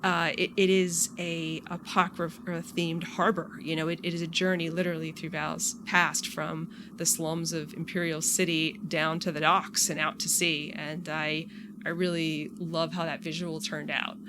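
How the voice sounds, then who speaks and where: A woman's voice: deep voice, level tone, moderate pace. A woman who develops game mods, giving casual, reflective answers in a conversational interview style. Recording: clearly noisy background.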